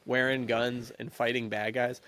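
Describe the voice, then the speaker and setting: A man's voice: mid-range voice, little pitch movement, measured pace, a crowd in the background. A man co-hosting a podcast, chatting casually and enthusiastically in slang about adventure stories. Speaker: podcast co-host. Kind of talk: casual chat about adventure books and films.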